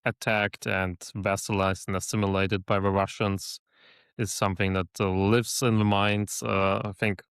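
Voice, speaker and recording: A man hosting a podcast interview, measured and listening, with frequent fillers. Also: lowish voice, flat delivery, fairly bright tone, clear, resonant tone, no echo, studio quality. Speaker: podcast host.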